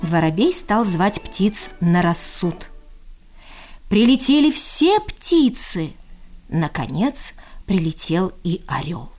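A woman reading a folk tale aloud in an expressive, deliberate storytelling manner. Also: lowish voice, faint music behind. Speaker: reader of folk tales.

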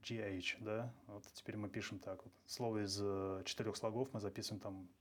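Fast-talking man explaining language history. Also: on the low side, little pitch movement. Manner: quick, lecturing, slangy asides. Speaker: podcast guest, language blogger. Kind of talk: casual explanatory chat.

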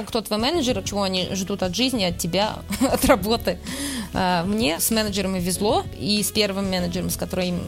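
A woman from Russia giving thoughtful interview answers, often searching for words. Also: mid-range voice. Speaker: interview guest, museum worker.

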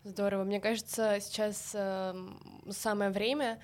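A young woman co-hosting a podcast, calmly introducing a segment. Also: medium pitch, measured pace. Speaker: podcast co-host. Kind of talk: casual chat.

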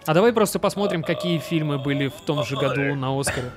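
Young guy rambling on a podcast, kinda high voice, lots of umms and restarts. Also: over music. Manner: chatty, quick restarts, frequent fillers. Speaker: podcast co-host. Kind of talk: casual chat.

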